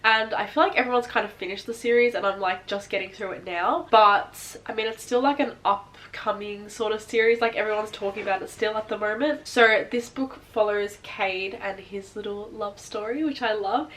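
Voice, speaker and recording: A woman's voice: mid-range voice, normal speed, few pauses, a bit bright. A young woman chatting casually to camera about books she wants to read, her voice nasal from a cold, rambling with many fillers. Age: young adult. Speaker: book vlogger.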